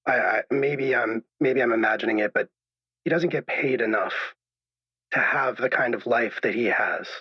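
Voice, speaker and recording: Man playing an anxious, husky, halting radio caller. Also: mid-range voice, lightly acted. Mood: defensive, anxious. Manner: hesitant, halting, stammering, apologetic. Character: anxious, troubled male caller unsure of his own reality. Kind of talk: improvised radio drama. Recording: dull lo-fi echoey call sound.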